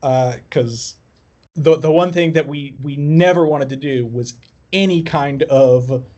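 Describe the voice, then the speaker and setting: A man with a slightly high voice tells stories about himself in a casual interview. He comes across as wry and self-deprecating, talking informally as a musician guest would. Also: a little slow, dark tone, highs cut off. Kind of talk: casual chat, personal storytelling.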